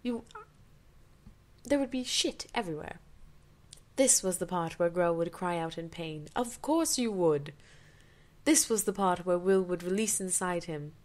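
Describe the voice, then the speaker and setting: A woman's voice: lowish voice, animated, measured pace, a little mellow. A woman doing an amateur dramatic reading of fanfiction, theatrical, with incredulous, sarcastic asides.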